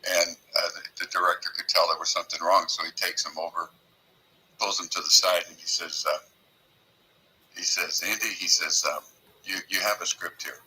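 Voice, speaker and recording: A man's voice: low-pitched, steady tone, moderate pace, bright, forceful tone, husky, poor audio. A man joining a livestream as a guest, giving earnest motivational commentary and telling stories with many ums and uhs. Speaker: guest participant.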